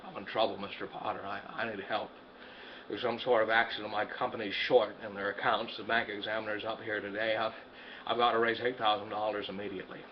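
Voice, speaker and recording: A man's voice: lowish voice, flat delivery, fast talker, muffled recording, slight background noise, pitched below the performer's own voice, natural voice. A man voicing a desperate, frazzled middle-aged family man who stammers and repeats words. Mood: pleading.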